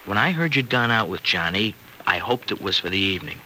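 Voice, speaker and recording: A man's voice: lowish voice, expressive, fairly quick, bright, forceful tone, exaggerated voice. An actor playing a breezy, good-hearted young man in an old-time radio drama, with a slangy, emphatic, boyish delivery. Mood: earnest, reassuring. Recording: noisy old radio recording.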